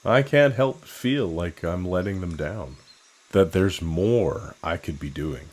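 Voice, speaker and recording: An actor playing a brooding, protective young American soldier in an audio drama, earnest and measured. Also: male voice, sits low, animated, a little mellow. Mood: troubled, earnest.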